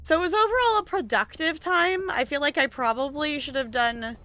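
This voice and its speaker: A chatty woman streaming a game, her voice bright and a little high. She laughs often, talks to her character, and rambles about her day. Faint game music plays behind her. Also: fairly quick. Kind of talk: casual chat while gaming.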